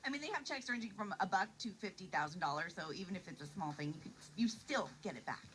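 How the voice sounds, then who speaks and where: Faint background noise, radio playback, American woman, morning co-host, animated intonation, conversational news delivery. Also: medium pitch, fast. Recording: noisy recording of radio playback.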